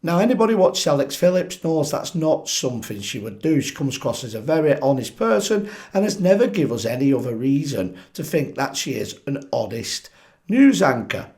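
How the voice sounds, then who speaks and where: A man hosting his own political commentary channel, croaky from a chest infection, talking animatedly and putting questions straight to his viewers. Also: mid-range voice, brisk. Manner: animated, asks viewers directly, frequent restarts. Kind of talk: opinionated solo commentary to camera.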